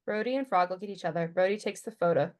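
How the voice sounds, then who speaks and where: A woman's voice: lowish voice, fast talker, a little mellow. A woman giving scripted narration, reading stage directions at a table read.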